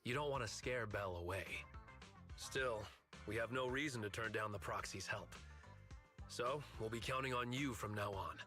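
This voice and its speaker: A composed young gentleman from an anime game. His voice is on the low side with a bright edge, mature and mannerly, over faint music. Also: moderate pace. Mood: composed, mild.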